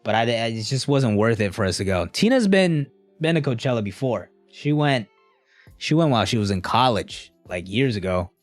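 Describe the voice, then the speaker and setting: Young guy rambling to his live chat, slangy and chill, mid pitch, dry sound with soft music under it. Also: expressive, normal speed, no room sound. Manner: laid-back, slangy, rambling. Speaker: streamer answering live chat. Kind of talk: casual live stream chat.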